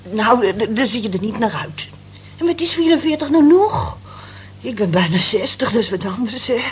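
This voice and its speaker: A woman's voice: on the high side, lively intonation, moderate pace, natural voice. An actress in a radio drama playing a down-to-earth, motherly woman of nearly sixty with a frank manner. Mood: indignant. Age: 50s. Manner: frank, matter-of-fact, motherly. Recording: dull lo-fi old radio recording, hiss.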